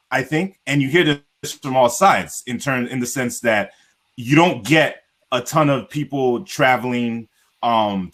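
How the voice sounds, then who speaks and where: A man's voice: mid-range voice, fairly bright tone. A man with an American accent hosting a casual online panel, talking freely with lots of fillers. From grew up in the United States. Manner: chatty, filler-heavy, emphatic. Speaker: panel host.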